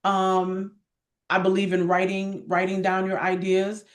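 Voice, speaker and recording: A woman's voice: mid-range voice, monotone, measured pace. Candid, emphatic woman interview guest. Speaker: arts guest, director and actress. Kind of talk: candid, conversational interview answer.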